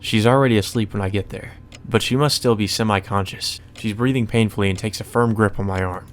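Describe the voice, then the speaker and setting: A man's voice: lowish voice, fairly even intonation, a little fast. A man hosting a let's play, reading a visual novel aloud and adding casual commentary. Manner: casual, profane asides. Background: music.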